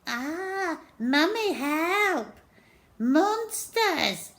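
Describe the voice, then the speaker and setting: A woman's voice: very high voice, very animated, extremely slow, a bit bright, voice pushed up, full character voice. A woman voicing a mischievous, cocky young boy from a children's storybook, mocking and falsely innocent. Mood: mocking wail.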